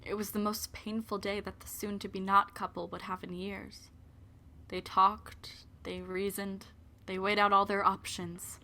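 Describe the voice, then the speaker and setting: A woman's voice: medium pitch, level tone, measured pace, pushed and bright. A woman narrating an audio drama from a script as a solemn storyteller.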